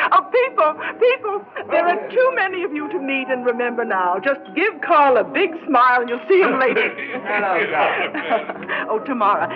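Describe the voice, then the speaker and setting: An actress playing a flirtatious, gushing party hostess in a theatrical, breezy 1960s radio drama style. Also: female voice, high-pitched, sing-song, unhurried. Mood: playful, bossy. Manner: theatrical, breezy, punctuated with laughter. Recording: dull 1960s radio recording, loud music.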